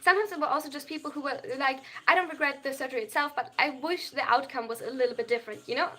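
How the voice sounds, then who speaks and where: Bright high feminine voice. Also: female voice, very fast. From Germany. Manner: reads viewer chat aloud and answers, tends to over-explain. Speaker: livestreamer. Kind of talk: casual live-stream chat.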